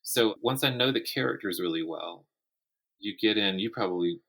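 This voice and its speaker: A man's voice: fairly low pitch, animated, moderate pace, fairly bright tone, breathy edge, poor audio. A man speaking as a guest author in a casual interview, often restarting and repeating words as he thinks aloud.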